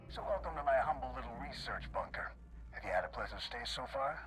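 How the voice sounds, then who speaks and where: An actor playing a gloating, folksy villain in a video game, full of taunting swagger. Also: male voice, mid-range voice, animated, quick pace, fairly bright tone, soft background music, lightly acted, poor audio. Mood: gloating, menacing.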